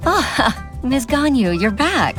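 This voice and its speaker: An actress playing an earnest, courteous young government clerk in a video game, speaking politely. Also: female voice, high-pitched, big pitch swings, slow, full character voice. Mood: grateful, relieved. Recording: background music under the voice.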